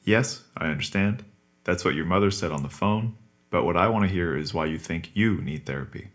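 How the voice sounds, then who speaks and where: Man acting low-voiced, courteous psychotherapist. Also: close to an everyday voice. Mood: patient, probing. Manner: measured, formal, explanatory. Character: calm, courteous male psychotherapist, patient and formal. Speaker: actor in a scripted podcast drama. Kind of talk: naturalistic audio drama acting.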